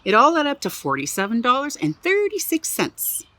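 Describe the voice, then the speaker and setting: A woman reading a picture book aloud to children as a museum storyteller, animated and chatty, with little asides. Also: sits high, normal speed, no room sound.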